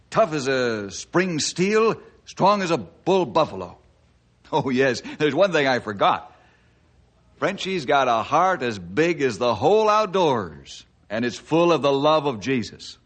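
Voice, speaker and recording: A man playing a friendly, steady forest ranger who narrates and acts in an old-time radio drama, in a folksy storytelling style. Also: mid-range voice. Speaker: narrator and lead character.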